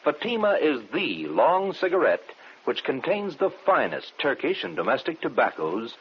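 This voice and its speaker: A man's voice: a little high, wildly swooping pitch, moderate pace, a little hiss or hum. A man reading a vintage radio commercial in an emphatic, sing-song announcer style. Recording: dull vintage radio recording.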